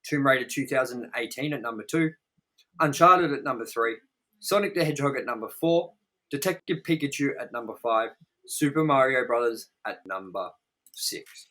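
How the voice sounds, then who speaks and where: A man's voice: medium pitch, moderate pace, bright, forceful tone, rough recording. A man co-hosting a casual, opinionated film review chat, speaking in a blunt, sweary, exaggerating way. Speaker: co-host of a movie review show.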